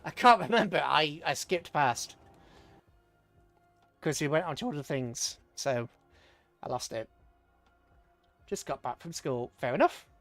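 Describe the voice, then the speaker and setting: A man's voice: a little high, lively intonation, a little slow, long pauses, a bit bright, no room sound, faint music behind. A British man casually commentating a strategy game on a livestream, chatting with viewers and muttering rambling asides to himself as he plays.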